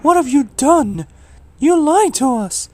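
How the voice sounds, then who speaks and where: A man voicing horrified, indignant goods trucks in a horror audio story, crying out loudly and accusingly. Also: lively intonation, slow, slight background noise, exaggerated voice. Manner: shrill, loud, accusing.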